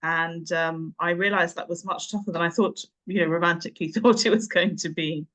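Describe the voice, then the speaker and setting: A woman's voice: on the low side, steady tone, a little fast, a bit bright. A woman, reflecting conversationally on her working life as an interview guest, with hesitant phrasing and emphatic words. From Nairobi, Kenya; boarding school in England. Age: 50s. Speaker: interview guest, English teacher and tutor. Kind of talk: conversational career reminiscence.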